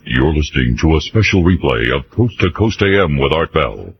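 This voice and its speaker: A man's voice: a bass voice, animated, normal speed. A man reading a scripted radio announcement on a dull, noisy broadcast.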